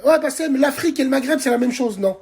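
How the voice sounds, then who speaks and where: A man in his forties from France, ranting to camera from a dark bedroom at night on his phone. He is heated and crude, hurls insults and speaks straight to his viewers. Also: extremely high pitch, expressive, quick pace, creaky, slightly rough. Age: 40s. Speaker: social media commentator. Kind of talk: angry political rant to camera. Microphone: phone held at arm's length.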